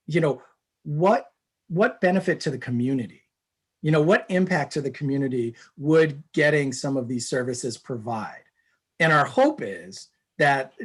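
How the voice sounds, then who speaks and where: A man's voice: on the high side, breathy edge. Male executive guest, earnest and upbeat, casual phrasing. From New Hampshire, USA. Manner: earnest, upbeat, uses "you know" often. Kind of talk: conversational interview answers.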